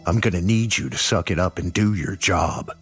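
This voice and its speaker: An actor playing a grim, blunt old sheriff in a horror audio drama, gruff, terse and commanding. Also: male voice, low-pitched, moderate pace, a bit bright, faint music behind. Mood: stern, grim.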